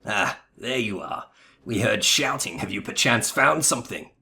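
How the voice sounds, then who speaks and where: Guy doing a stern zealot knight from a game, gruff n formal, dramatic reading, music behind. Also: lowish voice, expressive, normal speed, pushed and bright, full character voice. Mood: formal, inquiring. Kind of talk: melodramatic game dialogue reading.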